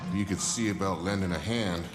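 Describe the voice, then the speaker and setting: A roomy recording with loud music behind a man playing a weary small-town pastor from a video game. He is kindly and dryly humorous, speaking in a very low voice at a slow, measured, folksy pace. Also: lightly acted. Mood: grateful, earnest. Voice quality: gravelly. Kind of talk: cinematic video game acting.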